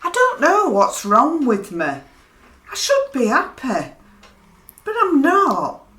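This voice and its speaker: A woman's voice: sits high, wildly swooping pitch, slow, fairly bright tone, echoey room, exaggerated voice. A woman in a radio play voicing a weary, depressed mother, hesitant and plaintive. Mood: despondent. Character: weary, depressed mother visiting her doctor. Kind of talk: amateur radio play acting.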